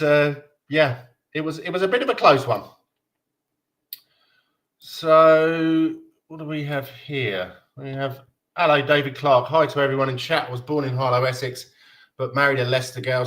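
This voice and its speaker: A man's voice: mid-range voice, a bit bright. A man from Denmark hosting a casual football fan livestream, chatting in a rambling, matey way. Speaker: livestream host.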